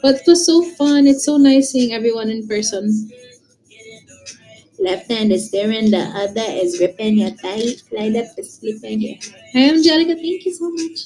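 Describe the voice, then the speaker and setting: A woman's voice: on the high side, a little slow, pauses often, dark tone, faint music behind, low-quality recording. A young Filipino woman live-streaming from her room, greeting viewers one by one and mixing English with Tagalog words. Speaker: livestreamer. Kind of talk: casual live-stream chat. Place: her bedroom, indoors.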